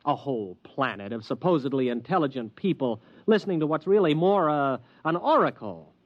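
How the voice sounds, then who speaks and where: Actor as exasperated young man, lively pitch. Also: brisk, dry recording, natural voice. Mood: scornful. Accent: American. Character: exasperated, reluctant young man, skeptical and unambitious. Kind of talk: 1950s radio drama acting. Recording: dull dry vintage radio recording.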